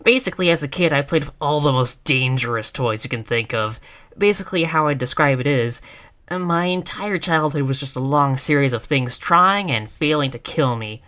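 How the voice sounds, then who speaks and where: A woman's voice: deep voice, animated, normal speed, a bit bright. A woman playing a tough, reckless girlfriend in a roleplay audio, brash but affectionate, with casual, sweary bravado. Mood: boastful, casual. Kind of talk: intimate roleplay audio acting.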